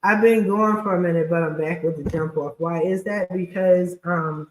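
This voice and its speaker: A woman's voice: lowish voice, steady tone, normal speed, roomy sound. A woman hosting a casual, chatty livestream with a scratchy voice and blunt, slangy, informal talk. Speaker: podcast host and credit repair specialist.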